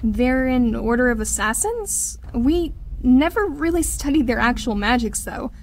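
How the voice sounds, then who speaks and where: High, lively, blunt young female mage. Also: measured pace, slight background noise. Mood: skeptical, curious. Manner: casual, blunt, direct. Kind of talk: visual novel character acting.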